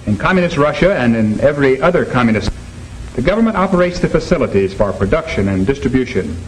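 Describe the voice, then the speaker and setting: A man's voice: mid-range voice, sing-song, quick pace, natural voice. A man lecturing formally and emphatically as a teacher in a 1950s educational film, on a noisy old soundtrack. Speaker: teacher leading a classroom discussion.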